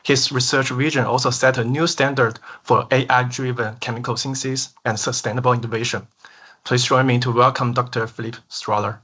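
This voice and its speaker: PhD student host, bright voice, reads out a formal introduction with frequent stumbles and restarts. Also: male voice, mid-range voice, steady tone, brisk, slightly rough. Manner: stumbling over words, restarts. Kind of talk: read introduction.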